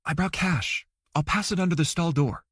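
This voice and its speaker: A man's voice: on the high side, normal speed, breathy edge, no echo, clean studio sound. A man voicing a composed, discreet, polite woman in an audiobook. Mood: guarded, firm. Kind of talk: audiobook character dialogue.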